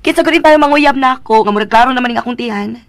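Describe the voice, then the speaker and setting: An actress playing a sweet, innocent young wife in a radio drama, with a coy, affectionate manner. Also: female voice, on the high side, fast talker, no echo, lightly acted. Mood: surprised, innocent. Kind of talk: melodramatic radio drama acting.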